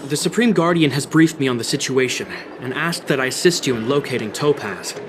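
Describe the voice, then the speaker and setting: A man's voice: mid-range voice, fairly quick, music in the background, close to an everyday voice. A man playing a dutiful, disciplined military captain in a video game, formal and commanding. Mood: alert, businesslike. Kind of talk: video game dialogue acting. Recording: busy outdoor noise and voices behind.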